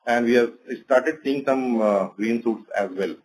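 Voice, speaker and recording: Man, Indian accent, bank executive, medium pitch, methodical explanatory answers, conference call. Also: a little slow, a little mellow, slight background noise, poor audio.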